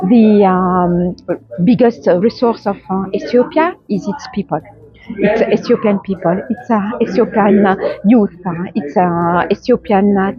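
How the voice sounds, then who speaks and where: A woman from Tunisia with a Tunisian accent gives informal interview answers, haltingly and searching for words. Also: medium pitch, highs cut off. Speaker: journalist, trainer being interviewed.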